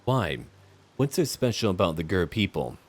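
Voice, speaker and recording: A man with a low voice commenting casually on a role-playing game. His delivery is laid-back and musing but varies a lot in pitch, with faint music behind him. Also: big pitch swings, normal speed, slightly rough. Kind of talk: casual game commentary, speaking dialogue choices aloud.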